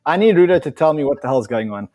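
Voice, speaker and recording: A man's voice: fairly high pitch, quick pace. A man hosting a live crypto stream, urging viewers on and calling in guests with eager energy. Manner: pushy, excitable, urging viewers. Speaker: show host.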